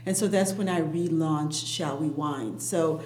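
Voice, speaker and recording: A woman who grew up in Haiti with Creole as her first language, telling stories as an interview guest in a lively, chatty way. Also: low voice, unhurried, roomy sound. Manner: lively storyteller, frequent "right?" and "you know". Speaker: wine entrepreneur, guest. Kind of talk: casual interview.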